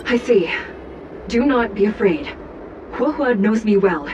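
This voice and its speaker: A woman playing a stern, composed judge in a video game, speaking in a formal, solemn, measured way. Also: medium pitch, expressive, noisy background, close to an everyday voice. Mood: calm, formal.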